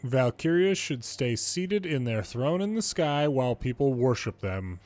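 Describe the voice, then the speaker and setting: A man narrates a game playthrough, reading the characters' lines aloud. His voice sits at a medium male pitch, with faint game music behind it. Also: sing-song, a little slow, darkish tone.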